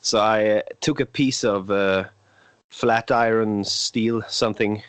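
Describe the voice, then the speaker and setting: A man's voice: lowish voice, fairly even intonation, slow pace, smooth, no echo. A Swedish man in his twenties, a native Swedish speaker, hosting a casual podcast chat in a hesitant way with many filler sounds. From Sweden. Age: 20s. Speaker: podcast host.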